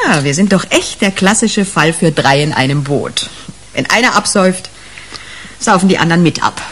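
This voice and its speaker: An actress playing a brash, shrewd police detective in a radio crime drama, slangy and emphatic. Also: female voice, lowish voice, expressive, moderate pace, a bit bright, slight background noise. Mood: emphatic, sardonic.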